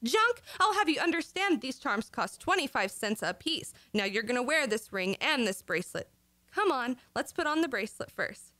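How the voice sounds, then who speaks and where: A woman's voice: sits high, sing-song, normal speed, bright, forceful tone, dry recording, heavily acted. A woman playing a scatterbrained, gullible and earnest wife with daffy logic in an old-time radio comedy. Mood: earnest, insistent.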